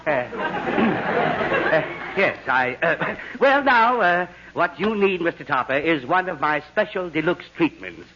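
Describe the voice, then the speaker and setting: A man's voice: a little high, lively intonation, takes it slowly, a crowd in the background. A man acting a pompous, patronising doctor in an old-time radio comedy, blustering and fussing over his patient. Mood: self-important. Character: pompous, fussy male doctor, cheerfully brutal masseur. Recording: dull noisy vintage radio recording.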